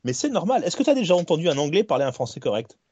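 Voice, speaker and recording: A man's voice: fairly high pitch, very animated, very fast, darkish tone, slightly rough. A man co-hosting a casual group podcast, making mock-serious jokes. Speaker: podcast co-host. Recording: dry, muffled lo-fi sound.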